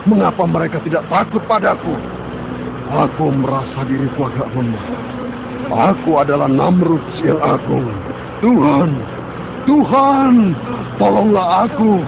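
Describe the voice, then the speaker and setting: A vain tyrant king in an animated film, his high, gravelly voice cracking as he shrieks at his guards. The sound is thin and phone-like, buried under loud hiss. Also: measured pace, long pauses. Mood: panicked. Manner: frantic, screaming orders. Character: panicking arrogant tyrant king, middle-aged man. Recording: phone-like lo-fi dub with loud noise.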